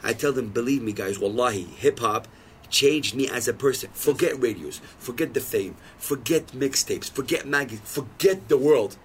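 A man in his thirties tells stories as a podcast guest, acting out the voices of people he quotes. His voice sits at an ordinary middle pitch. A faint hum of noise runs behind him. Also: normal speed. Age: 30s. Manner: animated storytelling, acts out quoted lines. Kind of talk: casual chat.

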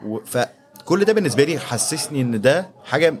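A man's voice: fairly high pitch, big pitch swings, a little fast. A man in his thirties with an Egyptian accent, telling his story conversationally as an interview guest and mixing English business terms into his speech. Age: 30s. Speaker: podcast guest, startup executive.

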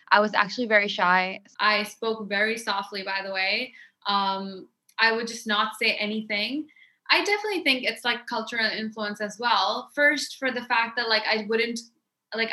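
A woman's voice: fairly high pitch, bright, forceful tone. A young woman from Pakistan, a podcast guest, chatting casually and filling her speech with "like". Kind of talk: casual interview chat.

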